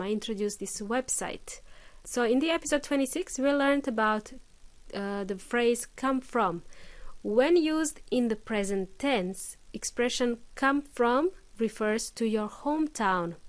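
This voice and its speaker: Woman doing an english podcast lesson, bit high voice, patient, lots of um and uh, dry close sound. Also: no echo. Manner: patient, explains slowly with examples and fillers. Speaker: English teacher, podcast host. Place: lives in Melbourne.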